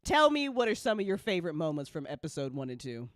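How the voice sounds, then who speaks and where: A woman's voice: low-pitched, very animated, fast talker, dry recording, studio quality. A woman hosting a casual panel chat as a writer, playful and gushing, swearing freely. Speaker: audio drama writer and after-show host.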